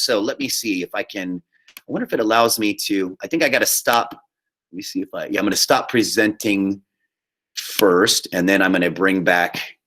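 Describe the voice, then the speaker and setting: A man teaching a high school class online, giving informal step-by-step instructions and often checking that students follow along. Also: bright, forceful tone. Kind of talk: live online class instruction, screen-share walkthrough.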